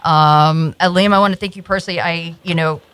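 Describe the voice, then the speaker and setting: A woman from New York City hosts an internet radio show, chatting casually with guests. Her voice sits a little low, and she laughs easily between loose, rambling sentences full of fillers and restarts. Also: fairly even intonation, a little fast, fairly bright tone, clear, resonant tone, no room sound. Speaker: radio host. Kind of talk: casual studio chat.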